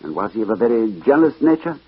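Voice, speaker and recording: Gentleman sleuth, vintage radio mystery. Troubled, formal, hesitant, very varied intonation, muffled old broadcast. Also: medium pitch, very animated, fast, slightly rough, no room sound. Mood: polite, probing. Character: troubled gentleman detective narrating his case. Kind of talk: 1940s-50s radio acting, first-person narration. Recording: dull old radio recording with music.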